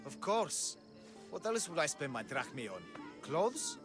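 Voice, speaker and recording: A grandiose man who believes he is a god in a video game. His voice is high for a man and very animated, rolling out pompous proclamations at a slow, theatrical pace. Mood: self-important. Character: grandiose, deluded self-proclaimed male god and prophet. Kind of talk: video game cutscene acting. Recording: music playing behind the voice.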